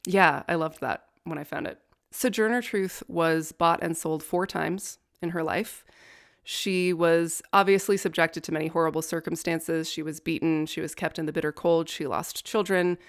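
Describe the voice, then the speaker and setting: A woman's voice: low voice, fairly even intonation, moderate pace. A young adult woman co-hosting a podcast, telling a history story in casual chat with wry, profane asides and a nerdy interest in law. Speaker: podcast co-host.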